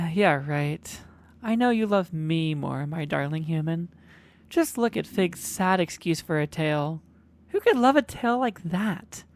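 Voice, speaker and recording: A woman's voice: fairly low pitch, expressive, takes it slowly, a little mellow, dry recording. A woman playing an obsessive, boastful kitsune fox girl in an ASMR roleplay, cajoling and dramatic. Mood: smug, coaxing.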